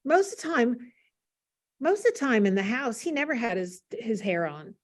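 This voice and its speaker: An older American woman, a panel guest, telling casual stories in an animated way and acting out remembered dialogue. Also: on the high side, moderate pace. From United States. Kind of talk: casual anecdotal storytelling.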